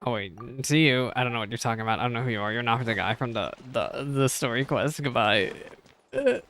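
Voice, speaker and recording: A man's voice: mid-range voice, animated, a little fast, a bit bright. A man streaming a game, reacting casually, musing to himself and reading text aloud, often repeating phrases.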